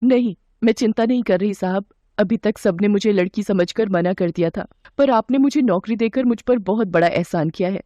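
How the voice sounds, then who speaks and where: A woman's voice: medium pitch, moderate pace, warm tone, no echo, lo-fi sound, close to an everyday voice. A woman voicing a poor, determined young girl drummer in a story, speaking politely and pleadingly. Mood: grateful.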